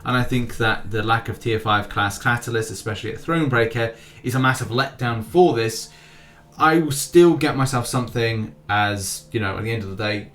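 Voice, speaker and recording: A man's voice: lowish voice, a bit bright. Chatty man hosting gaming news. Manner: chatty, restarts phrases, reads out figures. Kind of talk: casual gaming news roundup.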